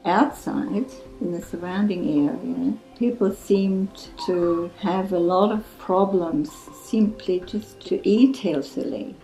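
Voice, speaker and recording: A woman volunteer who teaches English, speaking in an earnest, reflective testimonial with soft laughs. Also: on the low side, slow, vocal fry, hardly any pauses. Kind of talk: testimonial interview. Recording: echoey room with faint music.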